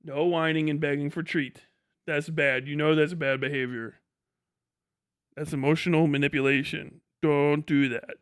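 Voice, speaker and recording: Man, fairly high voice, casual streamer, profane, rambling, dry close sound. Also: little pitch movement, moderate pace, fairly bright tone, smooth, no room sound. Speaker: livestream host. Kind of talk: casual livestream chat.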